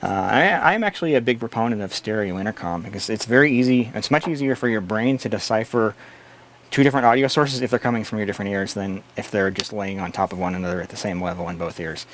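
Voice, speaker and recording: A man's voice: on the low side, quick pace, a bit bright, slight background noise. A man hosting a casual tech livestream, answering chat questions and explaining things in a blunt, opinionated way.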